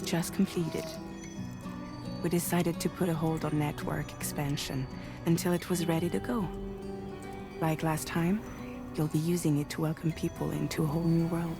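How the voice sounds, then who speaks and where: An actress playing a composed woman guide in a video game, explaining a mission in a measured way. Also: low-pitched, flat delivery, gravelly. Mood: calm, assured. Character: composed woman guide briefing a courier, quietly caring. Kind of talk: video game cutscene dialogue. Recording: music behind.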